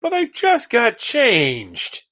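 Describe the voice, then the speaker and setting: Radio comedy: wry, skeptical male sidekick, played by an actor, unhurried, animated intonation, dry close recording. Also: very high-pitched, no echo, exaggerated voice. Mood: reluctant. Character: skeptical, reluctant male buddy, wry straight man. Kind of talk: comedic radio play acting.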